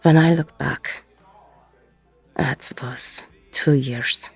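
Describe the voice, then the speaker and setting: A woman playing a weary, regretful ex-convict bar owner in an intimate audio roleplay, with a husky, gravelly voice and a reflective delivery full of pauses. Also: very deep voice, steady tone, no echo, faint music behind, heavily acted. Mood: haunted, subdued. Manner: slow, reflective, pausing mid-sentence.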